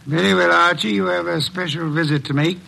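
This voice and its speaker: An actor playing a stern, unhurried detective in a radio drama, with formal, measured speech and a gravelly, husky voice. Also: male voice, high-pitched, fairly even intonation, bright, forceful tone, heavily acted, poor audio. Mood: calm, commanding. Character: heavyset, stern, unhurried genius detective, middle-aged man.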